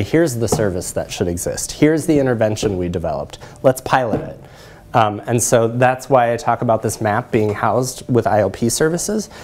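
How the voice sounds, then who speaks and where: A man in his thirties giving a lecture and discussion, measured, often posing rhetorical questions to his listeners. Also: mid-range voice, a little mellow. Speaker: presenter, social work researcher. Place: lecture room, at the front by a screen.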